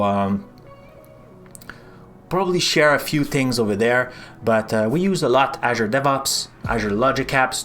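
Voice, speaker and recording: A man's voice: medium pitch, fairly bright tone. A man with a French accent, casually chatting through a live coding stream, rambling with lots of fillers and restarts.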